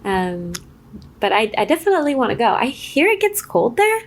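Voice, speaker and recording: Chatty, halting woman from Israel. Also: fairly high pitch, sing-song. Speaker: guest, screenwriter. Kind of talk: casual conversational storytelling.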